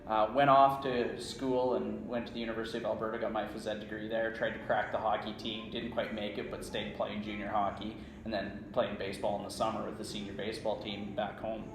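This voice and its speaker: A man's voice: on the low side, little pitch movement, a little fast, few pauses, noisy background. Canadian man explaining in an echoey room. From small-town Saskatchewan, Canada. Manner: checks in often with "right?". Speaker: strength coach, interview guest. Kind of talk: conversational explanation and storytelling. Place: indoor room at a conference. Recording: roomy echo, faint background ambience.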